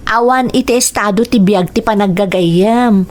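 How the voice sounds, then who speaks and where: A woman's voice: medium pitch, normal speed, a little mellow, close to an everyday voice. A woman playing a friendly, well-off young female graduate in an Ilocano radio drama, with an Ilocano accent. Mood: friendly, reassuring.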